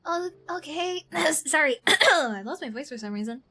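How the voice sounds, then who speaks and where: A woman's voice: high voice, expressive, unhurried, bright, forceful tone. A young woman from Ireland chatting casually as she streams a game, informal and slangy, often self-deprecating. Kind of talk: casual live stream chat. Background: game music.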